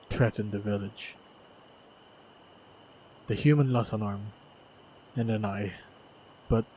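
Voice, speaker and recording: Male actor as loyal fantasy protector: calm and gentle, very even delivery, slow and deliberate, for intimate audio roleplay. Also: fairly low pitch, a little hiss or hum, rough recording.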